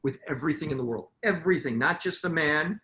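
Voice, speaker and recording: A middle-aged man co-hosting a relationship talk show, jokey and animated, prompting his partner to explain. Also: a little high, fast talker. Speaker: podcast co-host. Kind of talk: casual chat. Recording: echoey room, faint ambience.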